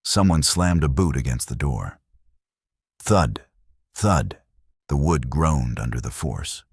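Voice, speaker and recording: A man's voice: rumbling low, animated, takes it slowly, husky, no echo, studio quality. A man narrating a dramatic Western tale as a scripted storyteller.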